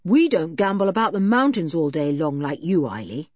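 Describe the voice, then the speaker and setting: A woman voicing a calm, sensible older boy who leads the group in a storybook reading. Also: low-pitched, moderate pace, dry recording, muffled recording. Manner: calm, reasonable.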